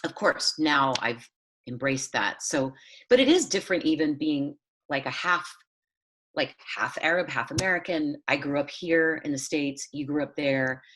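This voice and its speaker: A woman from Southern California, a playwright, musing and hedging in a relaxed chat on a video call. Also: low-pitched, normal speed, low-quality recording. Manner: musing, hedging, lots of fillers and restarts. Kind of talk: casual conversation over video call.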